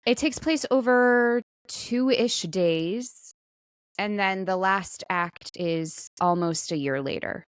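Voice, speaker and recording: A woman in casual co-host banter, with a middling pitch and a very dry recording free of room sound. She talks about herself in a self-deprecating, conversational way. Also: slow pace, clear, resonant tone, no echo. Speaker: podcast co-host; stage actor.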